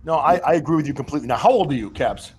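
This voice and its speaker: A man's voice: a little high, lively intonation, racing, a little mellow. Talk-show host, man in his fifties, upbeat and earnest, easygoing delivery. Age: 50s. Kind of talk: casual talk show chat.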